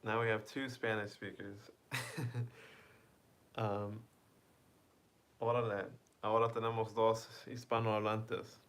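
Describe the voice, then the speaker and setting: Man, slow pace, lowish voice, casual stream chat, bilingual. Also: little pitch movement. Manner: reads chat aloud, self-translates between English and Spanish; laughs softly between lines. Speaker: livestream host.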